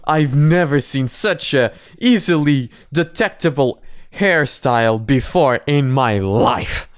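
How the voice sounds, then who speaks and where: Smooth-voiced rambling man. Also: medium pitch, animated, slow pace, dry recording, studio quality. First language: Portuguese. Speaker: reaction host. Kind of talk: casual reaction commentary.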